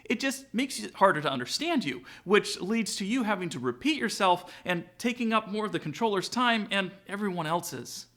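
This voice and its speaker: Animated man hosting a tutorial vlog. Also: high voice, normal speed, hardly any pauses. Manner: casual, direct address to viewers. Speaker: aviation vlog host, student pilot. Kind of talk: upbeat scripted tutorial narration with sponsor read.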